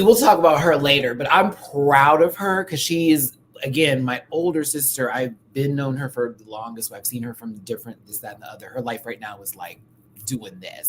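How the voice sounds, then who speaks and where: A man's voice: mid-range voice, a little hiss or hum, low-quality recording. An American man hosting a livestream, telling personal stories in a candid, chatty way with slang and frequent asides. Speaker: livestream host. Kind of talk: casual personal storytelling on a livestream.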